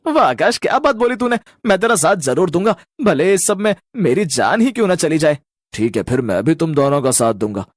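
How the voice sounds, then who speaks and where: A man's voice: mid-range voice, darkish tone, no echo, clean studio sound, natural voice. A man voicing foolish, earnest young village men in a cartoon story, eager and emphatic. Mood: admiring, eager.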